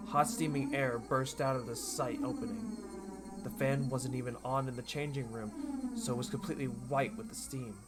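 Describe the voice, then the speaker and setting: A man's voice: mid-range voice, big pitch swings, moderate pace. Male streamer, mid-range voice, theatrical visual novel narration over prominent game music. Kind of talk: dramatic read-aloud of a visual novel. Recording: loud music behind the voice.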